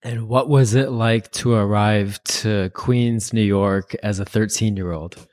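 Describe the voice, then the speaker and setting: A man's voice: fairly low pitch, steady tone, slow pace, darkish tone, dry recording, studio quality. A man hosting a podcast, reading a set introduction and then asking his guest questions. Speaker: podcast host.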